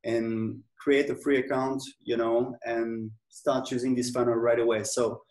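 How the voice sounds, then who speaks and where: A man's voice: mid-range voice, flat delivery, unhurried, darkish tone. French-accented man, echoey room. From France. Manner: hesitant, many fillers and restarts. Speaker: software company owner. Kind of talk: casual interview.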